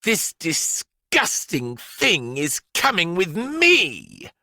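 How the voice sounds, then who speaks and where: A man's voice: high voice, huge pitch swings, very slow, sharp tone, dry recording, studio quality, pitched above the performer's own voice, exaggerated voice. A man voicing a bossy, disgusted headmistress who snaps imperiously, for a children's audiobook. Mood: outraged, bossy. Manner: imperious, snapping. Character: bossy, disgusted, bellowing headmistress, a grown woman.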